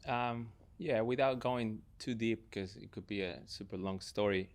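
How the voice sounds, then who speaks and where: A man's voice: on the low side, measured pace. A young man from Argentina with an Argentine Spanish accent, talking reflectively as a podcast guest. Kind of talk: casual, reflective personal conversation.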